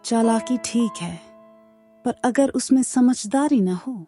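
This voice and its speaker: A woman's voice: fairly high pitch, slow, dark tone, faint music behind. A woman voicing a sly female jungle animal, humbled and rueful, in a cartoon story. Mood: remorseful, thoughtful.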